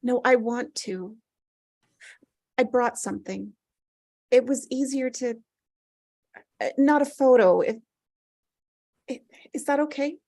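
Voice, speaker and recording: A woman reading the part of a grieving, anxious mother in a drama table read, halting, apologetic and gently insistent. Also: on the high side. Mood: upset, pleading. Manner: hesitant, halting.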